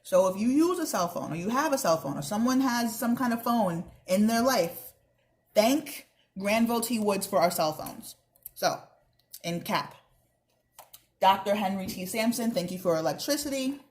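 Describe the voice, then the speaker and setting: A woman's voice: medium pitch, expressive, normal speed. A young woman reading a children's book aloud, expressively, with chatty asides to her listeners. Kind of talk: read-aloud narration with casual asides.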